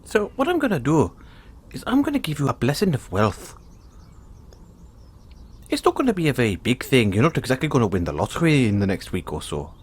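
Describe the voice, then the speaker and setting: A man's voice: mid-range voice, huge pitch swings, brisk, pauses often, slightly rough. A man voicing a kindly, playful leprechaun in an audio roleplay, folksy and reassuring. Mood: cheerful, generous. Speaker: roleplay performer. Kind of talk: audio roleplay, speaking softly to one listener.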